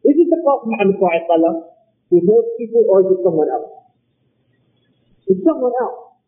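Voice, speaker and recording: A man's voice: high voice, expressive. Man giving a religious lecture, tinny audio. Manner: measured, explanatory, rhetorical questions. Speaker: Islamic lecturer. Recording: tinny phone-like recording.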